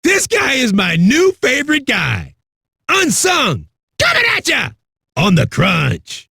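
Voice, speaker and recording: Raspy, amped-up metal radio DJ. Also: male voice, very high voice, huge pitch swings, slow, sharp tone, no room sound, shouting, clean studio sound, full character voice. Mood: rowdy excitement. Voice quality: raspy, gravelly. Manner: aggressive, rowdy, swaggering delivery. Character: brash, hyped-up, foul-mouthed male metal radio DJ. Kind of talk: high-energy rock radio DJ patter.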